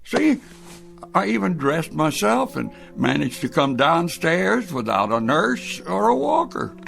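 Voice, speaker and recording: An actor playing an anxious, prickly old grandfather in a drama, creaky and halting, repeating himself. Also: a little high, very animated, fairly bright tone, soft background music, heavily acted. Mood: indignant. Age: older.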